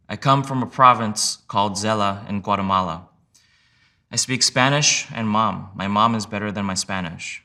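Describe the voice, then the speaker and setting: A man reading a sworn legal declaration aloud in a measured, plain, steady way. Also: fairly low pitch. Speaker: reader of sworn testimony.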